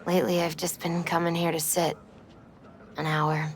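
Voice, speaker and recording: Actress as low-voiced wistful grieving girlfriend. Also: level tone, moderate pace, lightly acted. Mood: wistful, sad. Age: 20s. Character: wistful twenty-six-year-old grieving girlfriend, soft-hearted, melancholy. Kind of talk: cinematic video game acting.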